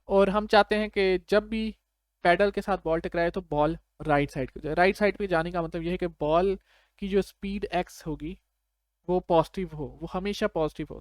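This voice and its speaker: A man's voice: high voice, smooth, no echo. A man presenting a programming tutorial in a casual, step-by-step, conversational way, mixing English technical terms into his explanations. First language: Urdu.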